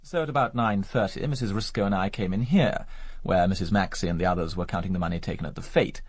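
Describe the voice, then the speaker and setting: Deep, brisk, sardonic English gentleman. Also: dry recording. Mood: guarded. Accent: British English. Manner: dry, clipped, sarcastic. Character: suave, sardonic English gentleman, rejected suitor. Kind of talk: radio drama acting.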